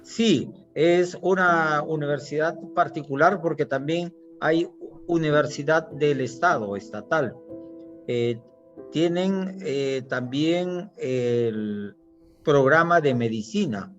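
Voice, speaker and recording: A man's voice: fairly high pitch, slow pace, dull audio, faint music behind. A man with a Peruvian accent, a surgeon guest answering interview questions in short, matter-of-fact explanations. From Peru.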